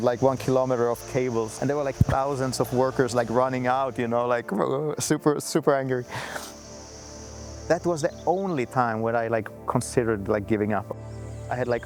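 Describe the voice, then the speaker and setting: A man's voice: medium pitch, darkish tone. A man being interviewed, telling his story loosely, with many restarts and fillers. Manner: loose, filler-heavy talk with frequent restarts.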